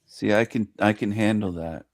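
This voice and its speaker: A man's voice: on the low side, moderate pace, no room sound, clean studio sound. A man chatting casually in an online group call, joking and boasting in easy banter. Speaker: regular in an online group chat. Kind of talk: casual chat.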